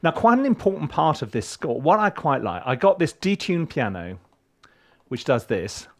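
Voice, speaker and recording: A man's voice: a little high, very animated, normal speed. A middle-aged man, a composer walking through his music session in a casual, rambling way with many ums and restarts. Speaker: composer teaching film scoring. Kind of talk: casual screen-share walkthrough. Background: music from his session playing faintly.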